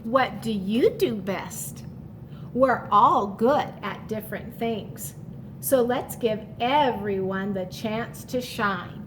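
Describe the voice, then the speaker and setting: A woman reading a picture book aloud to young children, pausing to explain it simply and speaking to the listener in an encouraging way. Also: a little high, noisy background. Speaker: storytime reader for children.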